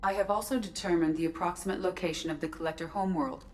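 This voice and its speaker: An actress voicing a calm, precise ship's artificial intelligence in a video game, formal and clinical. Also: female voice, lowish voice, fast, far from the mic, faint music behind, natural voice. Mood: calm, analytical. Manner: formal, clinical, even-tempered. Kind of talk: dramatic video game dialogue.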